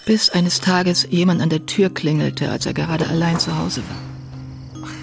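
An actress playing a grave, guarded sister giving measured testimony about her family's past in a radio drama. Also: lowish voice, vocal fry, music in the background. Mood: sombre, reflective. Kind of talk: German radio drama acting, narrative testimony.

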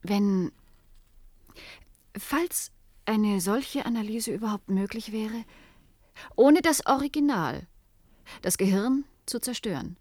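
Female scientist, mid-pitched, hesitant and reasoning aloud, German sci-fi radio drama, very dry sound. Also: expressive, slow pace, long pauses, a little mellow, dry recording. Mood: thoughtful, explaining. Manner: hesitant, thinking aloud, explanatory. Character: Natascha, thoughtful female cybernetics scientist reasoning things out. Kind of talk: German science fiction radio play acting.

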